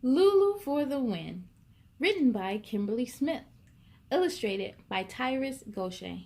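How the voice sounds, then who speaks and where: A woman hosting a story time for children, reading and chatting in an animated, playful way. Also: high voice, measured pace. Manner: animated, exclamatory, playful with sound effects. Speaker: story time host reading picture books. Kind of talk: children's read-aloud storytelling.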